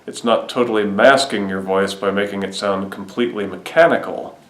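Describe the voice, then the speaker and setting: A man narrating a do-it-yourself tutorial in measured, matter-of-fact steps. His voice sits fairly low and rings out in a roomy, echoing space. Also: steady tone, fairly bright tone. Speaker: DIY video host.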